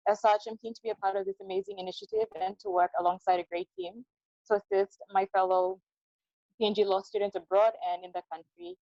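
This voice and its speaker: A young woman from Papua New Guinea, a law student, introducing herself in a brisk, earnest way over a video call. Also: medium pitch, expressive, gravelly, warm tone, no echo. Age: young adult. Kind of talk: self-introduction in a webinar. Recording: dull lo-fi call audio.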